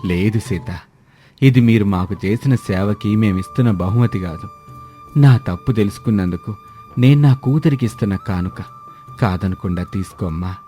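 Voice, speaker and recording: A hearty, rich older uncle, played by a man, effusive and emphatic. His storybook voice is low and very mellow. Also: moderate pace, soft background music, close to an everyday voice. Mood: moved, remorseful.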